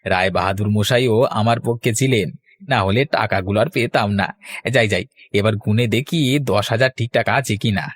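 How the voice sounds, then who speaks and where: A man voicing an excitable village fellow in a cartoon. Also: on the low side, a little fast, no room sound, lightly acted. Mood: gloating. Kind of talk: Bengali cartoon voice acting.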